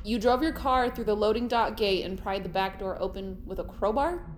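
An actress playing a brash, upbeat, warm-hearted young woman in an audio drama. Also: mid-range voice, moderate pace, heavy background noise, natural voice. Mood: amazed.

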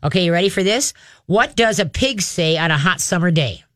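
A woman's voice: lowish voice, lively intonation, brisk, dry recording, studio quality. A woman hosting a call-in radio show about dog training, chatty and upbeat, slipping into cheerful sing-song voices. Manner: chatty, upbeat, acts out cheerful baby-talk to dogs.

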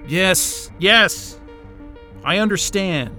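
A frustrated sarcastic station worker in an audio drama, sharp voice a bit on the high side, exasperated. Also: male voice, animated, extremely slow, soft background music, exaggerated voice. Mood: flustered, resentful. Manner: sardonic, exasperated, swearing. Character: frustrated, sarcastic man trapped at remote station. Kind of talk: naturalistic audio drama acting.

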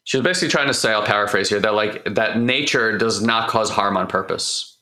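A man with a hoarse voice, recovering from losing it, hosting a personal growth talk and speaking openly and emotionally, rambling with restarts. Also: fairly low pitch, a little fast, sharp tone. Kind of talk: candid heartfelt monologue.

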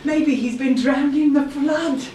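A woman's voice: high voice, unhurried, warm tone. A woman acting an anxious, plaintive seventy-year-old Londoner in an audio play. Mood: concerned. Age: 70s. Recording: roomy, distant, faint background noise.

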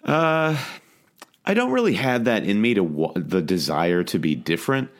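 A man co-hosting a casual podcast chat, speaking in a measured, matter-of-fact way. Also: on the low side, sing-song. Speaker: podcast co-host.

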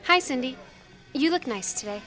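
Bright, high-voiced pious teenage girl. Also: lively intonation, moderate pace, faint music behind. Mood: pious, sweet. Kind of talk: audio drama acting.

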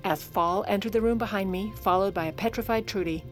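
A woman acting as a protective First Lady in an audio drama. She is composed, clipped and commanding, with a steely edge and a mid-pitched voice. Music runs underneath. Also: a little fast, natural voice. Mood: confiding, grim. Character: steely, protective First Lady covering for her ailing husband. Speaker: lead character and narrator.

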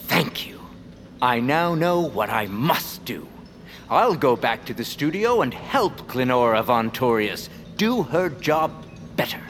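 An actor playing an earnest, oblivious, fired radio host in a comic audio drama, theatrical and wordy. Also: male voice, mid-range voice, sing-song, slow pace, fairly bright tone, slight background noise.

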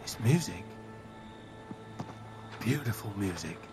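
An actor playing a terse, plain-spoken British soldier in an audio drama. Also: male voice, fairly low pitch, lively intonation, slow, over loud music. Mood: awestruck. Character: plain-spoken male soldier companion, wary and awestruck.

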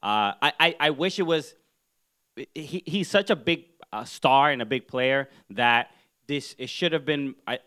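A man co-hosting a podcast, talking in a relaxed, hesitant way with frequent restarts. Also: mid-range voice, measured pace, clean tone. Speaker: podcast co-host. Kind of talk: casual chat.

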